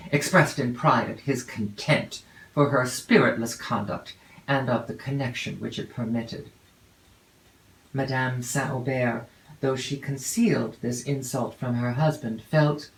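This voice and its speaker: Female audiobook narrator for classic fiction: formal, measured, deliberate phrasing, recorded in a reverberant room. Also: very deep voice. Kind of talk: scripted literary narration of a classic novel. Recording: roomy, echoey home recording.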